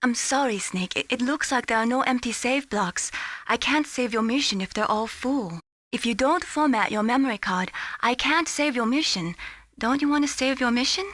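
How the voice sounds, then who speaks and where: A woman voicing a polite, earnest young mission support operator in a video game, speaking gently and apologetically. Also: on the high side, lively intonation, moderate pace, no echo, clean studio sound. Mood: apologetic.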